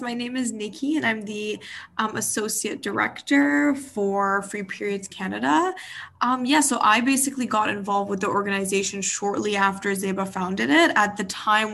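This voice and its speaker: A woman's voice: medium pitch, gravelly, a bit bright. A woman being interviewed over a video call, speaking earnestly with frequent "um"s. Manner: earnest, filler-heavy. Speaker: associate director of a nonprofit. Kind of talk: interview guest.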